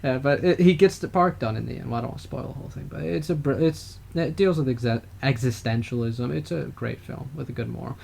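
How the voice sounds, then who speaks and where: A man's voice: mid-range voice, fast, gravelly, few pauses, darkish tone, husky. A man co-hosting a casual political podcast, talking in a rambling way with frequent hesitations and restarts. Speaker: podcast co-host.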